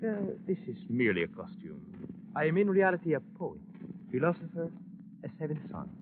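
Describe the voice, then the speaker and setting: Cheeky chauffeur, broken English, quick, animated, vintage radio drama, muffled sound. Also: male voice, on the high side. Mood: boastful, amused. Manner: chatty, playful, broken English. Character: cheeky boastful Brazilian driver, self-styled poet philosopher. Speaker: driver. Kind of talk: 1950s radio acting. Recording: muffled noisy old radio, vehicle hum.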